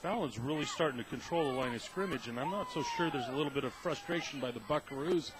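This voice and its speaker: A man's voice: a little high, huge pitch swings, fast talker. An American man adding casual analysis as color commentator on a radio football broadcast. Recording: noisy outdoor stadium radio broadcast.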